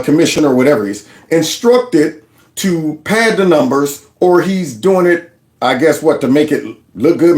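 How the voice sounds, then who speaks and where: Man, reaction commentary, higher voice, echoey room. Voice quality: animated, repeats words. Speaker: political commentary host.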